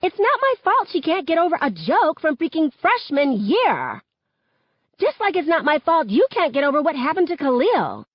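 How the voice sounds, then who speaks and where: A woman's voice: medium pitch, sing-song, moderate pace, no echo, highs cut off, voice pushed up. A woman voicing a defiant, callous teenage mean girl in an audiobook, with an indignant, dismissive delivery. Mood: callous, scornful.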